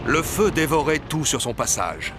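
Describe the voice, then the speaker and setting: A man's voice: a little high, big pitch swings, moderate pace. A man giving scripted narration of a story as narrator. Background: music, outdoor ambience effects.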